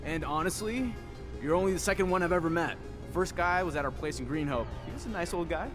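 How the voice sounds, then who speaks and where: An actor playing an affable, folksy young soldier in a video game, easygoing and a little hesitant. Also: male voice, on the high side, fast talker, natural voice. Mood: good-natured, sheepish. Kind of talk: video game dialogue acting. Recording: music behind the voice.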